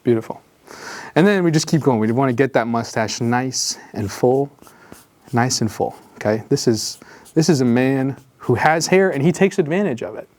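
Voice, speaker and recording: A man leading a drawing tutorial in a jokey, rambling way, full of gentle encouragement and little asides. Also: medium pitch, animated, normal speed. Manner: drops to whispers while concentrating on drawing. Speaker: drawing instructor.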